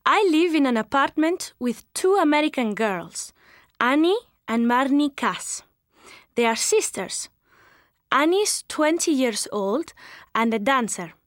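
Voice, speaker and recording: A woman with a high voice reads a beginner's English lesson text aloud. She goes slowly and carefully, every word plain. The recording is close and dry. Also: expressive, no echo. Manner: slow, careful, clearly spoken. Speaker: English course narrator. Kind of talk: slow scripted textbook reading.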